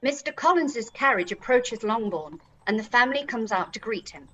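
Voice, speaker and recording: A woman's voice: fairly high pitch, expressive, normal speed, a bit bright, muffled recording, soft background music. A woman giving short scripted scene narration as the narrator of a period radio play.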